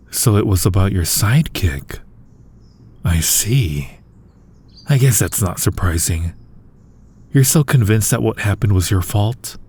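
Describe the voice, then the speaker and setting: A man playing a supervillain who gently cares for his hero nemesis in an ASMR audio roleplay, soft-spoken, dryly teasing and reassuring. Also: on the low side.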